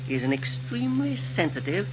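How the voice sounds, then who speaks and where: Fussy lecturing radio-play inventor, middle-aged man, slightly high voice, muffled old hissy recording. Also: sing-song, fairly quick. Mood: explaining. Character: eccentric middle-aged male inventor scientist. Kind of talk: 1930s radio acting. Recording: muffled 1930s radio drama recording with hiss.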